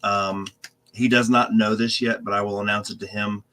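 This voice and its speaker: A man's voice: on the low side, flat delivery, moderate pace, sharp tone, slight background noise. A man hosting a casual paranormal podcast, talking loosely with many ums and restarts. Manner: rambling, loose, filler-heavy. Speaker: team manager and podcast host.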